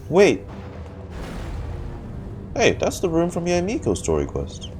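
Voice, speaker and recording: A man's voice: on the high side, huge pitch swings, slow. A man doing casual live reaction commentary as a game streamer, muttering wry asides. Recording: game music behind the voice.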